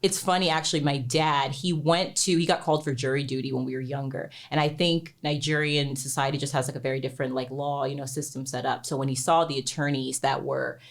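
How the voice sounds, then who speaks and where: A woman's voice: a bass voice, fairly even intonation, a little fast, hardly any pauses. A young woman attorney from Texas, chatting casually as a podcast guest and laughing easily.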